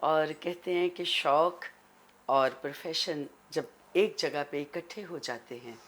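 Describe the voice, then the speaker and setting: Low-voiced Pakistani woman, slow and measured. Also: slight background noise. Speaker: guest, actress and voice-over artist. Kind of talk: talk show interview.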